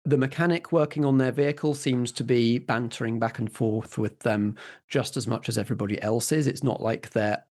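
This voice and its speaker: A man's voice: mid-range voice, a little fast, darkish tone. A man running a tabletop roleplaying game, narrating scenes and putting questions to players. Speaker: game master.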